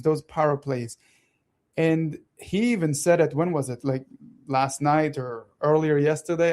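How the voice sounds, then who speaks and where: A man in his thirties with a soft Israeli-Italian accent, a native Hebrew speaker, talking casually and animatedly as a radio co-host. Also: a little high. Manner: animated, talks fast with many restarts and laughs. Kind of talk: casual political chat.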